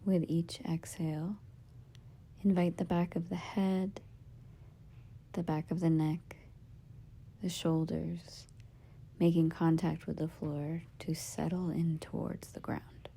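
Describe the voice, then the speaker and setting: A woman guiding a meditation as a yoga nidra teacher, in a soft, breathy, husky half-whisper, giving gentle, soothing instructions in short phrases with pauses. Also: low voice, little pitch movement. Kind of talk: guided meditation.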